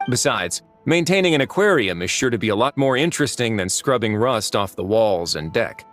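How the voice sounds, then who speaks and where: An actor playing a composed, wry duke who runs a prison in a video game, courteous and understated. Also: male voice, fairly low pitch, lively intonation, brisk, fairly bright tone, soft background music.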